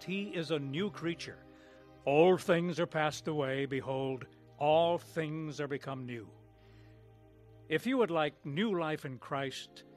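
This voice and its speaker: American guy announcer, slightly high voice, solemn scripted prayer and appeal, bit of music behind. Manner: earnest, solemn. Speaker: program announcer.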